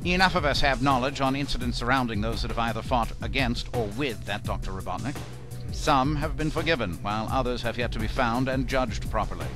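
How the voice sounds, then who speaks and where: A man playing a formal, measured military commander with a probing manner in an audio drama. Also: mid-range voice, lightly acted. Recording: music under the voice.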